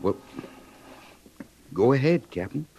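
An actor playing a folksy, deferential cavalry sergeant with a soft heart in a radio western. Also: male voice, mid-range voice, big pitch swings, slow, gravelly, lo-fi sound, soft background music, full character voice. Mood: nervous, urging. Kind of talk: 1950s radio western acting. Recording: very noisy vintage radio drama recording.

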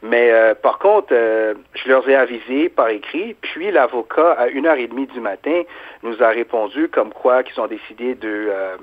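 A man's voice: mid-range voice, expressive, normal speed. A man with a Quebec French accent answering informally as a small business owner in an interview. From Quebec resident of Haitian origin. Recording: narrow phone-like sound.

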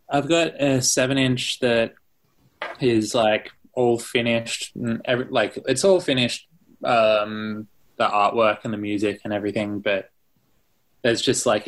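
A man's voice: medium pitch, fairly bright tone. A young Australian man, a musician being interviewed, chatting casually and rambling with lots of fillers and restarts. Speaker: musician guest.

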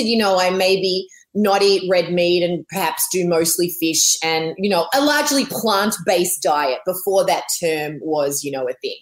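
A woman in her forties with an Australian accent, telling her story as a guest in a casual interview, animated and laughing often. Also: lowish voice.

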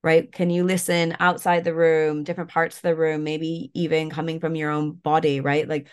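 A woman guest, a yoga and mindfulness coach, talking in a relaxed way with lots of fillers while stuffed up and a bit croaky. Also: sits low, normal speed. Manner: relaxed, many fillers like "like" and "you know". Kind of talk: casual interview talk, guiding an exercise.

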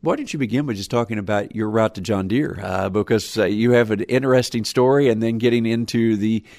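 A man hosting a farm radio program, asking questions in a measured, conversational way. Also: fairly low pitch, creaky, a little mellow, no room sound, clean studio sound. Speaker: host of farm radio show. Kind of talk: radio interview.

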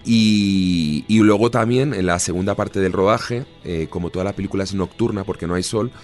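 A man's voice: low voice, moderate pace, darkish tone, soft background music. A man in his thirties with a Spanish accent, an actor answering interview questions in an easygoing, chatty way. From Spain. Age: 30s. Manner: easygoing, chatty, with frequent restarts. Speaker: actor guest.

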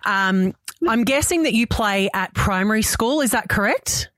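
A woman's voice: medium pitch, animated, moderate pace, a bit bright, no room sound, poor audio. A woman in her early forties with an Australian accent, chatting casually as a radio breakfast co-host. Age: early 40s.

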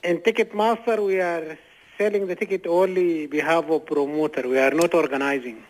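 A male ticket agent on a customer service phone call. His voice sits slightly high. He speaks non-native accented English in a polite, halting way, often repeating himself. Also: fairly even intonation. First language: not English. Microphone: telephone line.

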